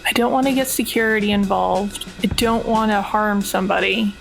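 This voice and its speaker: A woman's voice: medium pitch, normal speed, gravelly, faint music behind. A woman playing a tabletop game, chatting informally and thinking aloud with a wry manner. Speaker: player in a tabletop role-playing podcast. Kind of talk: casual game chat.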